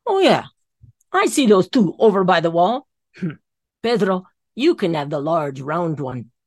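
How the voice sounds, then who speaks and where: A sly devil, put on by a woman storyteller, who haggles and lets his voice sink down low. The voice is fairly low for a woman, and the recording is dry and close. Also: big pitch swings, unhurried, darkish tone, no room sound, full character voice. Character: the devil, a sly, bargaining male spirit.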